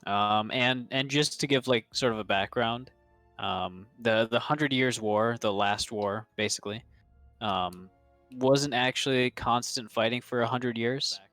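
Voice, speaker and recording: A man's voice: medium pitch, a little slow, fairly bright tone, no room sound, faint music behind. Rambling young man running a tabletop game. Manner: rambling, many ums and restarts. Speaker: game master.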